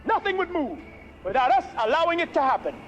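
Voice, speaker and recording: An actor playing a fiery male gang leader in a loud, declamatory film speech. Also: very high voice, big pitch swings, fast, highs cut off, faint music behind. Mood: rousing.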